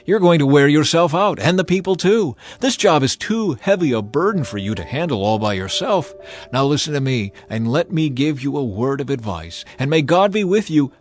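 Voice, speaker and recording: A man's voice: on the high side, lively intonation, moderate pace, faint music behind, close to an everyday voice. A man playing an earnest older father-in-law and priest who speaks emphatically in a dramatized scripture reading. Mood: firm counsel.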